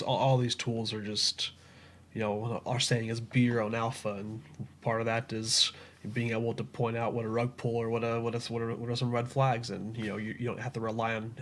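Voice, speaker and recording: A man's voice: on the low side, a little hiss or hum. Easygoing man co-hosting a stream. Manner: easygoing, repeats words as he starts. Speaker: co-host of a crypto livestream. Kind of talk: casual livestream chat.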